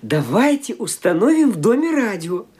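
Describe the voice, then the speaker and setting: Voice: medium pitch, sing-song, normal speed, darkish tone, husky. A performer playing a breezy, coaxing young man, a drawling, ingratiating nephew in a Russian audio drama. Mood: eager, breezy.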